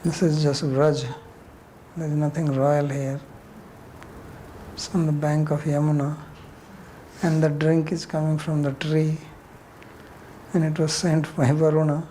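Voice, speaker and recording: A man's voice: a little high, flat delivery, unhurried, pauses often, warm tone, a little hiss or hum, rough recording. A man with an Indian accent leading an informal class discussion as a spiritual teacher, answering questions bluntly and challengingly with rhetorical questions.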